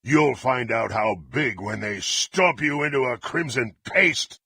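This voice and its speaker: An actor playing a brutal, bloodthirsty fantasy warrior in a video game, haughty and threatening, with a gruff, raspy voice and formal archaic diction. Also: male voice, medium pitch, animated, takes it slowly, pushed and bright, dry recording, exaggerated voice. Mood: indignant threatening. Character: brutal, menacing, bloodthirsty male warrior, contemptuous and impatient. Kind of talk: theatrical fantasy game voice acting.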